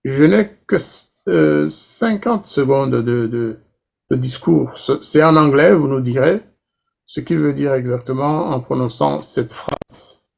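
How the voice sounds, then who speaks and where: Casting: male talk-show interviewer, gravelly, hesitant, many fillers, dull lo-fi sound. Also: mid-range voice, takes it slowly, a little mellow. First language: not English. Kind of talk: political interview questions.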